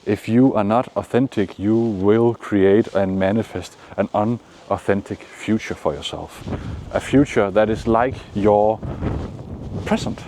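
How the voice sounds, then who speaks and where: A man from Denmark with a Danish accent, giving calm, encouraging motivational talk outdoors in the shade, with frequent pauses. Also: lowish voice, slight background noise. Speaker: manifestation coach. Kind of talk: casual motivational vlog talk.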